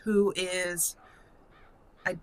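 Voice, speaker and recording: A woman's voice: on the low side, level tone, drawn-out words, no echo. A woman hosting a casual game walkthrough, thinking aloud in short fragments as she plays. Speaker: gaming channel host.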